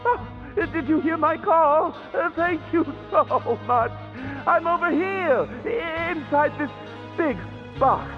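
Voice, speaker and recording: A man's voice: very high-pitched, takes it slowly. An actor playing a timid, evasive young man in a video game, hesitant, apologetic and pleading. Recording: muffled band-limited sound, faint music behind.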